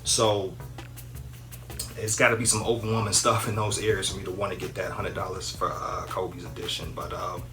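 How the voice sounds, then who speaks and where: A man in his thirties from Minnesota, talking in a low, relaxed register. He rambles casually with plenty of fillers and restarts. Music plays clearly behind him. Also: moderate pace. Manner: rambling, conversational, lots of fillers. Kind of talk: casual chat.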